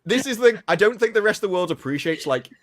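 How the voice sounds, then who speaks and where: A man's voice: high voice, animated, fast talker, fairly bright tone, no room sound, clean studio sound. British man bantering, voice swinging up and down. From United Kingdom. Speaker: livestream co-host. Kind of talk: casual bantering chat.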